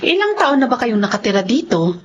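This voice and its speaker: A woman's voice: a little high, very animated, a little fast. A woman playing a composed, kindly social worker in a drama, formal and earnest, with a Filipino accent. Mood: serious, official. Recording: dull lo-fi audio with light noise.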